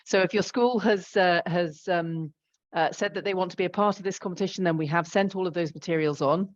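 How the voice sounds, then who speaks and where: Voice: medium pitch, brisk. A moderator reading out audience questions, hesitant, with many fillers. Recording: dull lo-fi audio.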